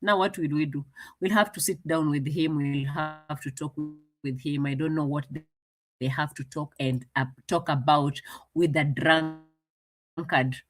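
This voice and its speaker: A woman's voice: sits low, fairly even intonation, measured pace. A woman commenting casually on a clip, translating and mocking with frequent laughs.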